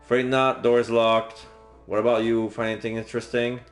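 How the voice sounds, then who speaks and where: A man streaming a game, reading a visual novel's story aloud in a measured, narrative manner. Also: mid-range voice, little pitch movement. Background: faint game music.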